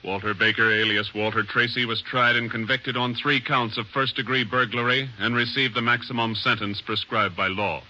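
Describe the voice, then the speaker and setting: A man reading formal announcements in a measured, declamatory old-time radio announcer style. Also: mid-range voice, fairly even intonation, pushed and bright, lo-fi sound, slight background noise. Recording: vintage radio broadcast with music.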